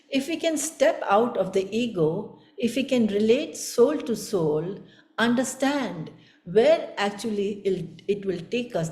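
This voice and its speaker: Female spiritual teacher, Indian accent, mellow dark tone, earnest and emphatic discourse, roomy echo. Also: on the low side. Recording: echoey room.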